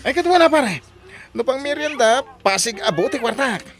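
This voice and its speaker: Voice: high-pitched, lively intonation, moderate pace. An actor playing a boastful, easygoing village husband and father with an Ilocano accent in an audio drama. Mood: boastful, upbeat.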